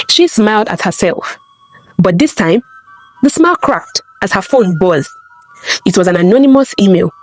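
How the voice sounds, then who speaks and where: A woman's voice: mid-range voice, darkish tone. A woman telling a scripted dramatic story as narrator, theatrical and suspenseful, in short punchy phrases.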